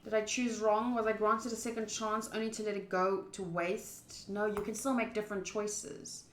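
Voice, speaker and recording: A woman's voice: mid-range voice, flat delivery, moderate pace, echoey room, a little hiss or hum. A woman streaming a visual novel, informal and blunt, switching between live commentary and reading the game text aloud.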